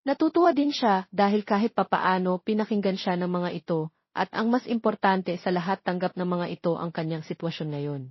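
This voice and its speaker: A woman's voice: on the low side, brisk, dry recording, dull audio. Woman narrating a story, dry sound. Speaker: audiobook narrator.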